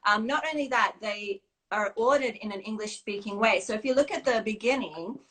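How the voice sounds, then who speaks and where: Woman guest, chatty and modest, filler-heavy, middling pitch, live video call. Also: brisk, fairly bright tone, poor audio. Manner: chatty, self-deprecating, many fillers. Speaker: guest, children's book creator. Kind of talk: casual live-stream interview. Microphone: phone or video call.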